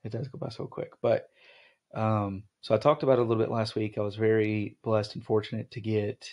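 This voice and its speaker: A man's voice: fairly low pitch, level tone, a little fast, darkish tone. A young man hosting a podcast, chatting casually with his wife, rambling with lots of ums and restarts. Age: young adult. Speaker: podcast host.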